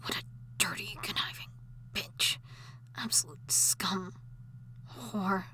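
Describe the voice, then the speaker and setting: A woman voicing an obsessive, jealous wife who has come back from the dead, in an audio drama that moves between intimate whispering and shrill shouting. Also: a little slow, slight background noise. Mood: seething, nervous. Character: obsessive jealous undead wife, possessive and unstable.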